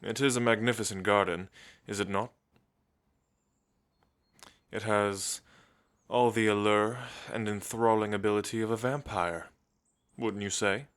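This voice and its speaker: Casting: male actor as languid, world-weary immortal vampire for ASMR roleplay; lowish pitch, very unhurried, teasing elegance. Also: fairly even intonation, a bit bright. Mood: suave, playful. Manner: slow, theatrical, suave, with musing pauses.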